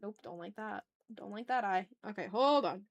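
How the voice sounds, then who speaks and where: A woman's voice: medium pitch, moderate pace, vocal fry, lo-fi sound. A woman hosting a casual drawing livestream, playfully chatting with viewers and thinking aloud as she works. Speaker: art livestream host.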